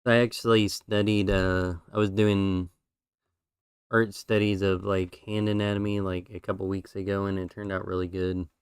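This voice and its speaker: A man's voice: sits low, moderate pace, smooth, no echo, clean studio sound. A man streaming, chatting casually and rambling through his drawings while losing his voice. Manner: relaxed, rambling with fillers.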